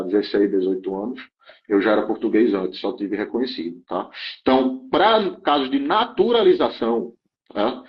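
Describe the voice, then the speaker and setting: Man explaining rules, muffled audio. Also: mid-range voice. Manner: checks in often with "tá?" and restarts phrases. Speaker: guest explaining German immigration rules. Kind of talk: explanatory interview talk.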